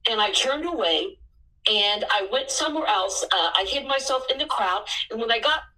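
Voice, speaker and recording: A woman in her sixties, a missionary, telling her story in an earnest way that bursts into excitement at times. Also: a little high, moderate pace, recorded from a distance, rough recording. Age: 60s.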